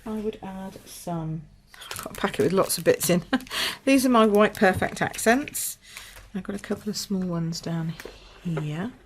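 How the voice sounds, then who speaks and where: A woman from the UK, slightly croaky, demonstrating a papercraft project at her table in a chatty, think-aloud way. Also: mid-range voice, a little hiss or hum. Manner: chatty, thinks aloud with fillers and small apologies. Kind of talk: casual craft tutorial. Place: indoors at a craft table.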